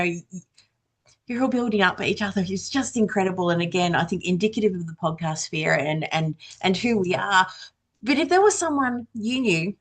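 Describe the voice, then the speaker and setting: Effusive woman hosting a casual panel chat. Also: mid-range voice. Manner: effusive, encouraging. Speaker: panel host.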